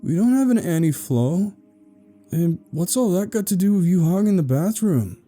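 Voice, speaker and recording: A man's voice: sits high, animated, moderate pace, warm tone, faint music behind, voice pushed up. A man voicing a frightened, stubborn little boy who pleads and protests indignantly in a horror story. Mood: disgusted, indignant. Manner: pleading, indignant, childish exclamations.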